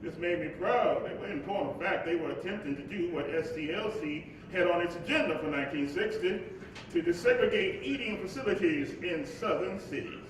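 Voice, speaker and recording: An actor playing the spirit of Dr. King, an earnest, reflective preacher and father, in a live stage monologue with halting asides. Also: animated. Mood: proud, declaiming. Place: live stage before an audience. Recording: echoey hall, distant mic, noisy rumble.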